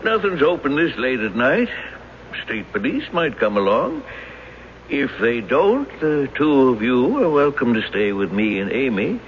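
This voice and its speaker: A man's voice: medium pitch, very animated, measured pace. An actor in a radio drama playing a genial, folksy old retired bank man who coaxes like a crafty confessed robber. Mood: folksy, welcoming. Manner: folksy, coaxing. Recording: dull, noisy old radio broadcast.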